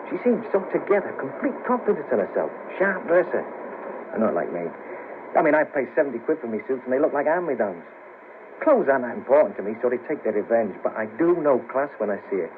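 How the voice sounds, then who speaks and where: A man's voice: mid-range voice, phone-quality sound, lightly acted. An actor playing a rueful, easily shocked ex band manager down on his luck in a 1970s radio play, talking in hesitant, clipped phrases on a noisy old cassette recording.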